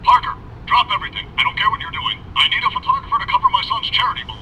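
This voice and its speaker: An actor playing a blustering, impatient newspaper boss who barks orders in a brusque, bossy way in a video game. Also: male voice, high-pitched, racing, muffled recording, slight background noise, natural voice. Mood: brusque, urgent. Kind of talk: cartoonish video game acting.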